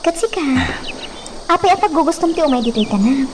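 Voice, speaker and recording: A woman's voice: high voice, lively intonation, brisk, background noise. A woman with an Ilocano accent playing a sweet young country maiden being courted in a radio drama. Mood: sweet, dreamy.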